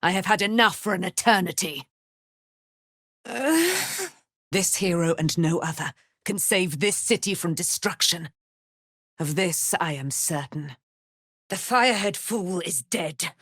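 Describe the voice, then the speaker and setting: A woman's voice: lowish voice, expressive, unhurried, long pauses, fairly bright tone, no echo, studio quality. A woman playing a proud, righteous immortal warrior, daughter of a moon goddess, with grand, archaic, declamatory diction in a fantasy video game. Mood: grave.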